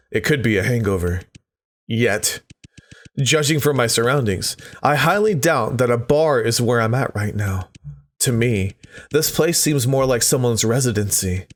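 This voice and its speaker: A man's voice: mid-range voice, animated, moderate pace. A man doing a let's play, dramatically reading visual novel text aloud and breaking off for joking asides. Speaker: gaming video host.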